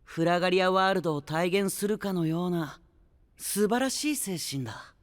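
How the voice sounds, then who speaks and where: A man playing a shrewd, poised young knight in an anime audio drama, measured and faintly theatrical, with a slight tease. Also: high-pitched, dry recording, lightly acted. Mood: arch, admiring.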